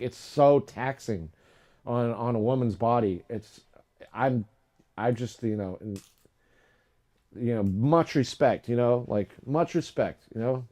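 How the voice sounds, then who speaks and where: A young adult man hosting a livestream, chatting casually and rambling with lots of fillers and restarts, his voice low today. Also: darkish tone. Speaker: live stream host.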